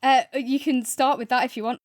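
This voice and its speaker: A woman's voice: sits high, steady tone, a little fast, dry recording, studio quality. A woman co-hosting a podcast, chatting casually and reflectively as she gives a review. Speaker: podcast co-host reviewing audio fiction.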